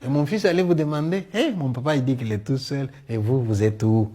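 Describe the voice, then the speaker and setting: A middle-aged man with a Congolese French accent tells comic stories in a one-man show, acting out dialogue in an animated way. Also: medium pitch, a little fast. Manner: animated storyteller, acting out dialogue, punctuating with "hein". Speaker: stage storyteller and comedian.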